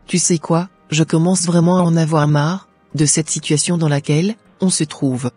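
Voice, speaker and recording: A woman's voice: deep voice, fairly even intonation, normal speed, warm tone, slightly rough, no room sound, soft background music. A woman voicing a resentful, ungrateful young student daughter in a cartoon, complaining with scorn. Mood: angry, scornful.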